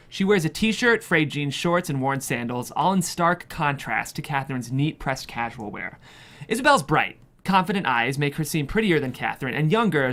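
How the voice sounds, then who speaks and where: A narrator reading screenplay stage directions in an expressive, measured way at a table read. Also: medium pitch.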